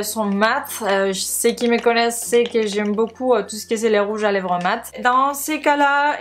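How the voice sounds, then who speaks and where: A woman with a Spanish accent, a native Spanish speaker, chats casually to viewers as a beauty vlogger, sometimes searching for words in her second language. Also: a little high. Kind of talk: casual product haul chat to viewers.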